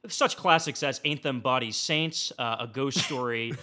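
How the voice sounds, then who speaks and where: Bright-voiced man pitching on a podcast. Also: moderate pace. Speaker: podcast guest. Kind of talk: animated comedic pitch in a casual panel debate.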